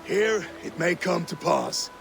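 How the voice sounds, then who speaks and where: High, creaky-voiced Viking lieutenant. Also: male voice, expressive, takes it slowly, music in the background, exaggerated voice. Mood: solemn. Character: loyal Viking lieutenant and ship captain, fatalistic, proud. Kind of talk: dramatic video game acting.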